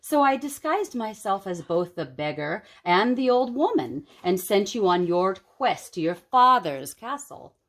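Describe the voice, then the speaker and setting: A woman's voice: medium pitch, very animated, unhurried, hardly any pauses, fairly bright tone. A woman voicing a stately, gracious fairy queen in a storybook, speaking in a formal, proclaiming manner. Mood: regal.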